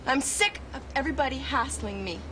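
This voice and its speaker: A woman's voice: high-pitched, lively intonation, fairly quick, background noise. A young actress playing an irritable, defiant teenage girl in a naturalistic drama. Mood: irritated. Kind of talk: naturalistic teen drama acting.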